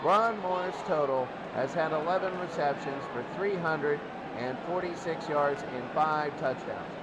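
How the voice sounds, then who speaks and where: Male sports commentator, fairly high-pitched, unscripted stat rundown, very noisy recording. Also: a little slow, dull audio. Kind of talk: unscripted statistics rundown before a game.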